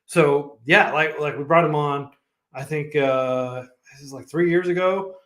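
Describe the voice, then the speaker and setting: A man's voice: fairly high pitch, fairly even intonation, unhurried, a bit bright. Chatty man with a roomy echo. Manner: chatty, many fillers and restarts, laughs often. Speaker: founder of a coding nonprofit, guest. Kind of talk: casual livestream interview. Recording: echoey room.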